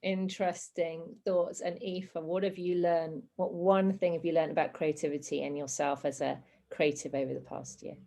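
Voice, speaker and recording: A woman's voice: sits low, flat delivery, normal speed, gravelly, few pauses, mellow. A woman moderating a video-call panel, politely thanking guests and posing questions.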